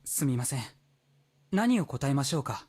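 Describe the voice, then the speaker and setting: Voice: medium pitch, very animated, quick pace, darkish tone, breathy edge. An actor voicing a mature, reserved teenage boy in a Japanese visual novel, speaking with formal, hesitant politeness.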